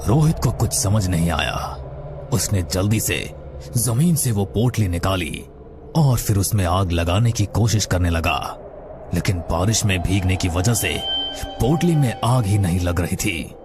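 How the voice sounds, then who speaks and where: A man narrating a scripted animated horror story. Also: deep voice, unhurried, music in the background.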